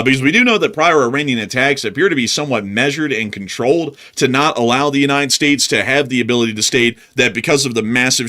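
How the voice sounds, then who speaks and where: A man gives detailed analysis on a live news stream, building long explanatory sentences. His voice has a bright, forward edge and sits at a middle pitch. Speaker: co-host analyst.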